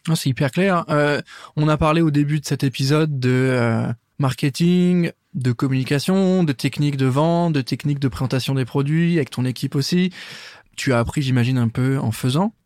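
A man hosting a podcast, asking questions in a relaxed, conversational way with hesitant fillers. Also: a little high, moderate pace, dry recording, studio quality. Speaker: podcast host, interviewer. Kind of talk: interview questions, casual.

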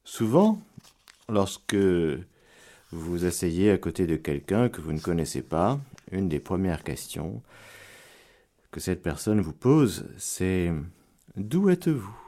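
Male priest, radio sermon: slow, measured delivery, mellow tone, bursts of fervor then quiet prayer. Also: low voice, lively intonation. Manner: preacherly, swings between loud exclamations and hushed prayer. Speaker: priest giving catechesis on radio. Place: radio studio.

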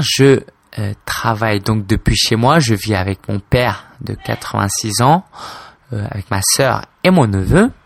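A man's voice: fairly low pitch, gravelly, dry recording, clean studio sound. A man in his twenties from France, a blogger talking casually and informally to his listener, hesitant, with many fillers and restarts. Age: 20s. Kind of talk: casual solo talk to camera.

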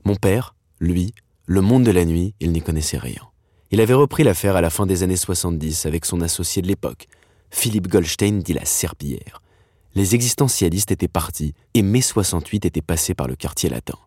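A man's voice: low voice, a little fast, a little mellow, dry recording, clean studio sound. A man in his thirties narrating a personal documentary. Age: 30s.

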